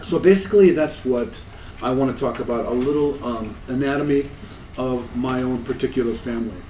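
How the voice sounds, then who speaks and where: A man in his sixties from the United States speaks at a conference in a relaxed, self-deprecating way, often sounding amused. The mic sits some distance from him, so his voice comes across roomy and slightly remote, with faint background noise. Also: medium pitch, fairly quick. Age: 60s. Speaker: conference presenter. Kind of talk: academic conference talk, anecdotal. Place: lecture room at a conference. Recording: echoey room, distant mic.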